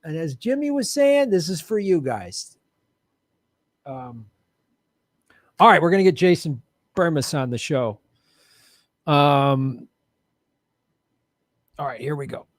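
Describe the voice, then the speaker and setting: A man hosts a live show in a casual, joking manner, poking fun at himself with playful bravado. His voice sits a little high for a man. The sound is dry and close, with no room echo. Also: very animated, takes it slowly, long pauses. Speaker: host of a live political show. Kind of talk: casual livestream chat. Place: home studio with bright lights.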